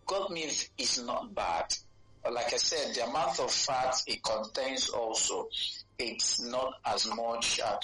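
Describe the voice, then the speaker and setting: A man's voice: medium pitch, slow, over music. A man with a Nigerian accent explaining health advice as a dietitian in an interview, teaching step by step and checking that he is followed. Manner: didactic, checks understanding with "okay" and "you know". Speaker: dietitian guest. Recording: roomy, echoey sound.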